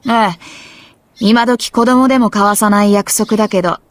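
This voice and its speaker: A woman playing a bold, competitive, tomboyish high school girl in an anime visual novel, with a brash, casual, confident manner. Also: fairly even intonation, fast talker, no room sound, close to an everyday voice. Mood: teasing, cocky.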